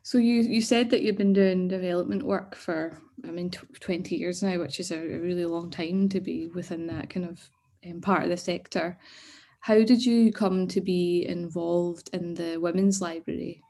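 A woman's voice: lowish voice, fairly even intonation, moderate pace, dark tone. A woman asking questions as the interviewer in an informal oral history interview over a video call.

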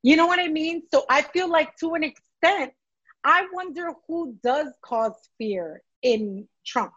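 Woman co-host, high and unhurried voice, animated, muffled call audio. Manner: animated, laughs easily. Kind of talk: casual chat. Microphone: video call.

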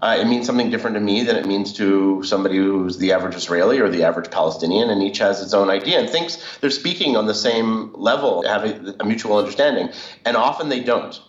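A man's voice: lowish voice, lively intonation, fast. Thoughtful Canadian man on an echoey, muffled line. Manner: thoughtful, slightly hesitant, laughs easily. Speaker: guest. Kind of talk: conversational interview answers. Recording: echoey, muffled remote audio.

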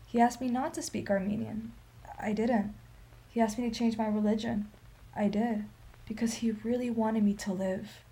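An actress playing a sorrowful, dignified old Armenian woman in a radio play, telling her story in a solemn, measured, emphatic way. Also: fairly high pitch, dark tone, close to an everyday voice.

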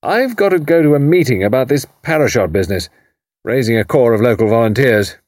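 A man voicing a hearty, blustering older British commander with an upper-class English accent and a loud, barking, clipped delivery in an audiobook. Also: medium pitch, expressive, fairly quick, no echo, studio quality, lightly acted. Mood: bluff, emphatic. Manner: bluff, emphatic, clipped phrasing.

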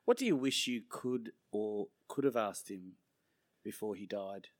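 A man interviewing guests, asking gentle, probing questions. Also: on the low side, a little slow.

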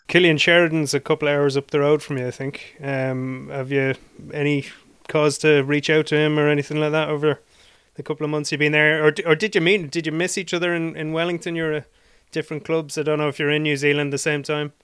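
A man hosts the interview and asks his questions with halting restarts. His voice is smooth and resonant, with a flat, even pitch. The sound is dry and close. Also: no room sound.

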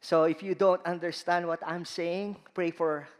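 Slow-preaching man with Filipino accent. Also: on the high side, flat delivery. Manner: slow, emphatic delivery with rising stresses. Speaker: guest preacher. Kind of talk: sermon. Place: large church hall. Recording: long reverb of a church hall.

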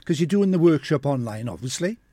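Male host, slightly higher voice, brisk and conversational, dry sound. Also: big pitch swings, dark tone, husky, no room sound. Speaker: radio host. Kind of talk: interview questions.

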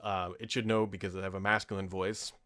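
A man's voice: deep voice, flat delivery, a little fast, fairly bright tone, clear, resonant tone. Casual man hosting a tech podcast. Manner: opinionated, conversational, frequent ums and asides. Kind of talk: casual solo podcast chat. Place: home office.

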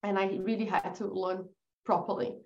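A woman from Brazil with a Brazilian accent, a guest telling her story in a relaxed podcast interview, laughing easily and restarting her sentences. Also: medium pitch, normal speed, creaky, muffled recording. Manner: chatty, laughs often, restarts. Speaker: guest, agency founder.

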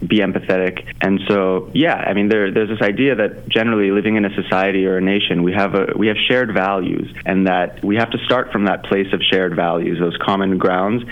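Deep-voiced young man, earnest and reflective. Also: steady tone, fairly quick, few pauses. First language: Farsi. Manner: reflective, earnest, many fillers and restarts. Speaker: author and entrepreneur guest. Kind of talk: conversational interview answers. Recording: slightly dull lo-fi audio.

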